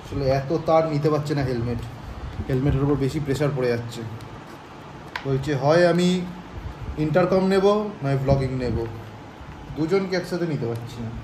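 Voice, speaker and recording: A man's voice: mid-range voice, normal speed, long pauses, background noise. A man explains things casually in a vlog style, mixing in English words. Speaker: motorcycle vlogger. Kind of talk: casual product demo vlog.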